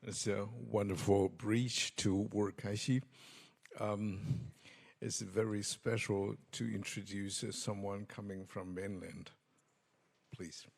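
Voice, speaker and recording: A man moderating a conference panel, introducing speakers slowly and hesitantly. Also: lowish voice, fairly even intonation, gravelly, darkish tone. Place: conference hall.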